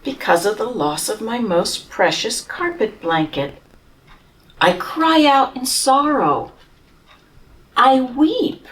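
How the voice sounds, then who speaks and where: A woman's voice: a little high, big pitch swings, slow, fairly bright tone, far from the mic, voice pushed up, heavily acted, poor audio. A woman reading aloud as a pompous, self-pitying male camel who complains grandly about his lost blanket, from a storybook. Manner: grandiose, whining, theatrical complaints.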